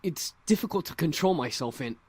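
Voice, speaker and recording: A man's voice: fairly high pitch, expressive, brisk, a little mellow, slightly rough, no room sound. A man voicing a brash, impulsive young male incubus, blunt and grudging, in a visual novel. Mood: grudging, contrite. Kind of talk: visual novel character acting.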